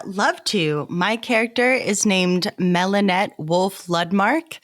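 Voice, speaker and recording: A woman's voice: on the low side, a bit bright, no room sound, clean studio sound. A woman in a podcast cast, chatting casually in an irreverent, freely swearing manner. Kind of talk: casual chat.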